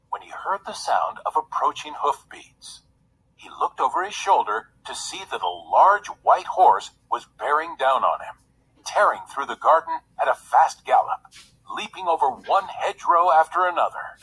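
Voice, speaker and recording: A man's voice: fairly high pitch, vocal fry, fairly bright tone, a little hiss or hum, rough recording. A man reading a children's story aloud as its narrator, in a scripted storytelling style.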